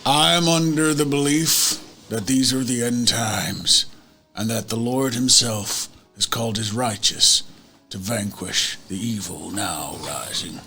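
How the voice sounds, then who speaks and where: A man's voice: medium pitch, a little slow, bright, forceful tone. A man voicing a grave, Bible-obsessed doomsday believer in a tabletop game, solemn and preachy. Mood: righteous.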